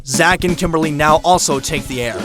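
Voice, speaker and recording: A man's voice: a little high, fairly quick, over music. A man reading dramatic scripted narration for an audio drama.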